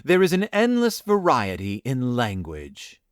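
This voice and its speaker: A man voicing a sly, superior village girl who talks in slow, measured riddles in a storybook reading. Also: mid-range voice, wildly swooping pitch, smooth, dry recording, studio quality. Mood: aloof, teasing. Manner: slow, measured, mock-wise.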